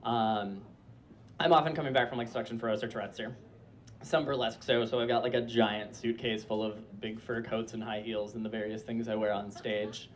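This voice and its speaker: A man's voice: on the low side, steady tone, moderate pace. Chatty young man telling a story on stage. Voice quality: voice like a disappointed mom, per a friend. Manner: chatty, lots of filler likes, frequent laughter. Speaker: storyteller performer. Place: small club stage before a live audience.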